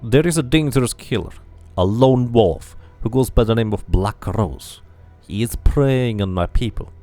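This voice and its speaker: A man's voice: medium pitch, a little slow, warm tone, soft background music, close to an everyday voice. A man voicing a commanding female gang leader who speaks formally and coolly. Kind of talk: video game character reading.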